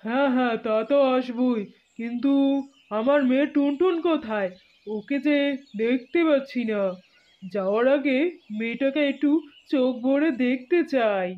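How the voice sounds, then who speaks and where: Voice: high voice, moderate pace, long pauses, lightly acted. An actor voicing a loving, anxious father in a fairy-tale cartoon, tender and instructing. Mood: concerned. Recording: muffled phone-like cartoon track with music.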